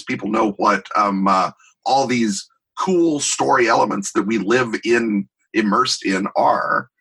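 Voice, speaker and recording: A man's voice: fairly low pitch, bright, forceful tone, breathy edge, rough recording. A middle-aged man talking as an interview guest, explaining in an emphatic, wry, conversational way with digressive examples. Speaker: interview guest, tabletop game designer.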